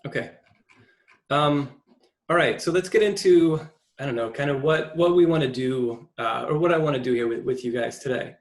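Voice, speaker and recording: A man's voice: a little high, normal speed. A man hosting an informal online discussion as a film school chair, with an encouraging manner on a video call.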